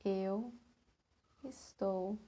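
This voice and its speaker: Very slow, soft-toned woman guiding meditation. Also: mid-range voice, fairly even intonation, breathy edge. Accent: Brazilian Portuguese. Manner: slow, gentle delivery with long pauses. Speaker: meditation guide. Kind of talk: guided meditation.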